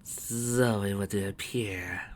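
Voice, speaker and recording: A menacing shapeshifting insect queen from a storybook, put on by a man. The delivery is slow and breathy, with a mocking, taunting edge. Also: on the low side, fairly even intonation. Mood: mocking menace.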